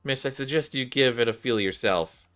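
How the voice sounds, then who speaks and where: A man's voice: mid-range voice, normal speed, a bit bright, clear, resonant tone, lightly acted. A man voicing a patient but exasperated shopkeeper in a game, wearily explaining.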